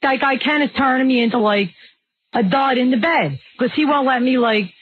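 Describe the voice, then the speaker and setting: A woman guest on a radio show, talking loudly and brashly about her boyfriend. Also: high-pitched, husky. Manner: loud, brash, drawn-out exclamations. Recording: tinny phone-like radio audio.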